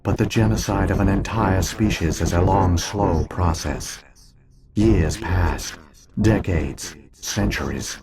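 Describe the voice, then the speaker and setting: Video game role: ancient alien AI, low-pitched, solemn, measured, coldly logical. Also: male voice, over a noisy crowd. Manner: solemn, measured, formal exposition. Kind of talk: scripted video game dialogue.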